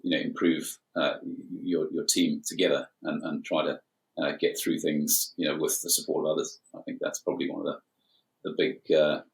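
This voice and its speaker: A man's voice: extremely low pitch, level tone, moderate pace, creaky, rough recording. A man in his forties from Zimbabwe, an airline captain talking as a guest in a casual chat, with many hesitant fillers.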